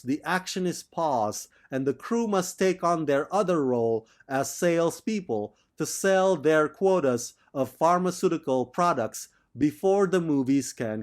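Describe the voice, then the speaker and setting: A male film reviewer talks through a movie in a measured, enthusiastic monologue. His voice sits a little on the high side, and he speaks slowly, letting each phrase land. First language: not Thai.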